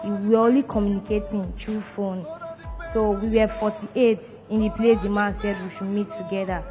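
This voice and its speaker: A woman's voice: medium pitch, little pitch movement, normal speed. A woman with a Nigerian accent speaks earnestly about her experience, her delivery plain and broken by restarts. Faint music plays in the background. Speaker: returnee giving testimony. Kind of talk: earnest personal testimony.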